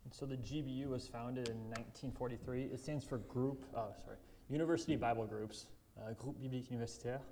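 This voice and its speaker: A young man on campus ministry staff walks through slides in a relaxed way. He drops joking asides as he goes, and faint room ambience sits under his voice. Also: medium pitch, quick pace, few pauses, slight background noise. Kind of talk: presentation with slides.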